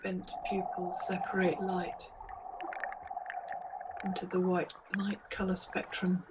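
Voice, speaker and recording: Woman guiding meditation in a flat monotone. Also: lowish voice, heavy background noise. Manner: measured, hypnotic, deliberate phrasing with pauses. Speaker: meditation guide. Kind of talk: guided meditation, scripted narration.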